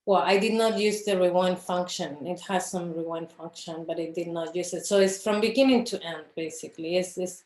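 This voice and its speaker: A woman's voice: on the low side, steady tone, a little fast, hardly any pauses, darkish tone, roomy sound. A woman from Chile, an artist speaking in an interview who searches for her words with many fillers and restarts. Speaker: filmmaker, interview guest. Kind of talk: reflective conversation about her films.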